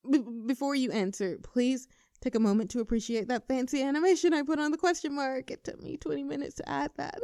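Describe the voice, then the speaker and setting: Casting: actress playing nervous, teasing young best friend in love, earnest and conversational, intimate audio roleplay, very dry close sound. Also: female voice, sits high, fairly quick, mellow, dry recording. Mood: flustered. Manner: playful, teasing, earnest, slightly flustered.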